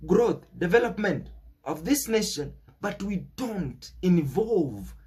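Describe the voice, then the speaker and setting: A man's voice: sits high, very animated, slow, warm tone, breathy edge. A young man with a Kenyan accent giving an emphatic, preachy unscripted political monologue, stressing words and repeating phrases. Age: young adult. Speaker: political commentator.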